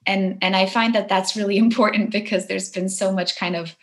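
Soft-spoken woman guest, from Poland. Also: medium pitch, fairly even intonation, a little fast. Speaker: guest, herbalist and green witch. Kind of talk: reflective interview talk.

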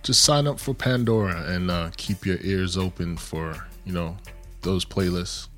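A man with a New York accent co-hosts a podcast. His voice sits very low, and he talks in a laid-back, slangy but earnest way. Faint music plays in the background. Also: unhurried. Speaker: podcast co-host. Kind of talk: casual chat.

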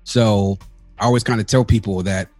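A man from the Washington DC area, a podcast guest telling stories about growing up in a relaxed, casual way with street slang. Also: fairly low pitch, moderate pace, no echo, faint music behind. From Washington DC area, United States. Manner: relaxed storytelling, uses slang like "yo" and "big up". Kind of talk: casual conversational interview.

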